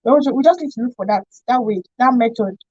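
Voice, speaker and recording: A woman's voice: a little high, fast talker, a little mellow, dry recording, lo-fi sound. A young woman with a Nigerian accent talking informally on a radio discussion, explaining emphatically and often checking she is understood. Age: young adult. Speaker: radio show guest explaining a topic.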